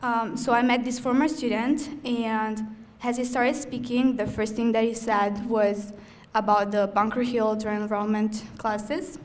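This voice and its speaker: Young woman with an Italian accent, a student speaking at a ceremony; earnest, a touch halting, pitched a little high. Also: fairly even intonation. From Italy. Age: young adult. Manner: earnest, slightly halting, with frequent "um" pauses. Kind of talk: prepared ceremony speech. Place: event hall.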